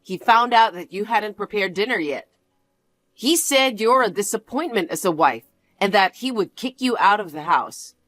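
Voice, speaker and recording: A spiteful, domineering mother-in-law sneers and gloats, voiced by a woman. Her pitch swings widely as she mocks, with a haughty, taunting edge. The recording is close and dry. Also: expressive, normal speed, fairly bright tone, no echo, close to an everyday voice. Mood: gloating. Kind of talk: one-voice drama reading.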